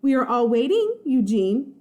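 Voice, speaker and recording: A woman voicing a composed, firm primary school teacher, measured and pointedly polite, in a children's storybook. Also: sits high, sing-song, darkish tone, pitched above the performer's own voice. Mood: firm, prompting.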